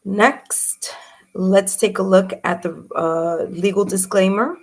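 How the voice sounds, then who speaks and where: A woman with a hoarse, raspy voice hosting a live tarot reading stream, chatty and welcoming with frequent fillers. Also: mid-range voice, steady tone, slow. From New York. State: hoarse, raspy from early morning and much talking. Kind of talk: live stream talk to viewers.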